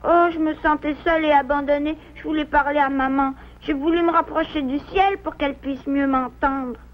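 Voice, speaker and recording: A woman's voice: high-pitched, fairly even intonation, normal speed, lightly acted. A woman voicing a sensitive, contrite young beaver in a French cartoon, plaintive and childlike. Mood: sad, contrite. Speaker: voice actor. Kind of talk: French cartoon dub acting. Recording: dull lo-fi cartoon soundtrack, music behind.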